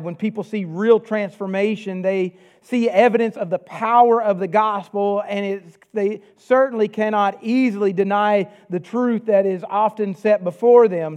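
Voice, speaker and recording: A man with a Southern US accent preaching a sermon, reading scripture and praying in an earnest, measured way. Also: sits high, a little mellow.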